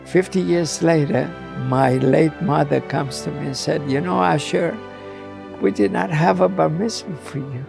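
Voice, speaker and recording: Older man, very gravelly voice, higher pitch, measured telling, clear background music. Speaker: survivor interviewee. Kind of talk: recorded interview. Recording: music under the voice.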